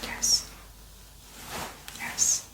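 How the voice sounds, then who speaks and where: A woman playing the patient in a soft-spoken ASMR roleplay, giving quiet, terse answers. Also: very slow. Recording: roomy echo, faint background noise.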